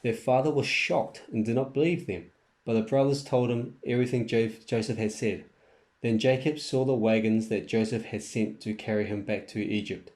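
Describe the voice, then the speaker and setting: A man's voice: mid-range voice, fairly even intonation, moderate pace, darkish tone. A man narrating a scripture story from a script, reading carefully with an occasional stumble.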